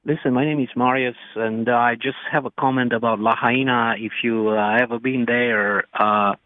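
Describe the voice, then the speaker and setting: Male caller, halting, heard over a thin phone line. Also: medium pitch, steady tone, dry recording. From Romania. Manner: halting, broken phrasing, many fillers.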